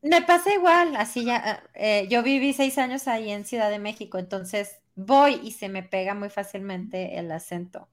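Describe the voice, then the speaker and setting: A woman from southern Mexico with a southern Mexican Spanish accent, co-hosting a casual podcast chat in a relaxed, hesitant way. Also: mid-range voice, normal speed, few pauses. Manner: relaxed, hesitant, restarts phrases. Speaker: podcast co-host.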